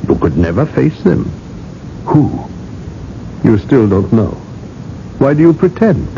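An actor playing a shrewd, sardonic gatekeeper who probes and needles in a radio drama. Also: male voice, mid-range voice, very animated, vocal fry, dark tone, full character voice. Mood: accusing, sardonic. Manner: probing, sardonic, deliberate. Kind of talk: 1980s radio drama acting. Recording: muffled, noisy old radio recording.

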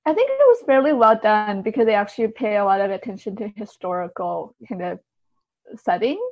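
A woman speaking as an interview guest, casually recounting experiences in a halting, think-aloud way. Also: mid-range voice, sing-song, no room sound, muffled recording. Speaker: podcast guest, writer and translator.

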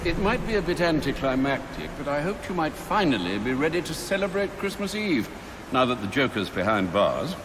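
An actor playing an older, dutiful butler in a video game, speaking formally with dry, gently chiding concern. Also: male voice, a little high, big pitch swings, brisk, noisy background. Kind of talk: video game cutscene acting.

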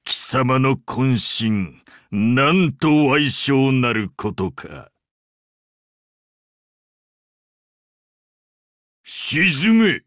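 A man voicing an ancient, imperious sea-god father in a Japanese game, speaking in a pompous, archaic and deliberate way with grand indulgence. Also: medium pitch, a bit bright, no room sound, clean studio sound, full character voice. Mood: commanding, fierce. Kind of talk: Japanese game character voice acting.

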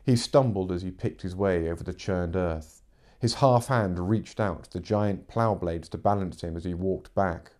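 A man's voice: low-pitched, normal speed, a little mellow. A middle-aged man reading fiction aloud as a narrator, in dramatic audiobook style. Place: living room, seated in armchair.

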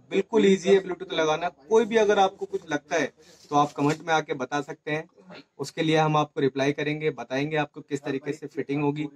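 A man giving a hands-on tutorial, explaining step by step in a small indoor room. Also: a little high. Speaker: presenter of a motorcycle gear channel. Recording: faint background noise with clicks.

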